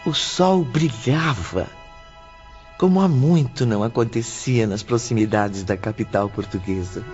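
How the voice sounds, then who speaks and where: A man's voice: mid-range voice, measured pace, darkish tone. A man reading scripted dramatic narration for an audiobook novel. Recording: dull audio under clear music.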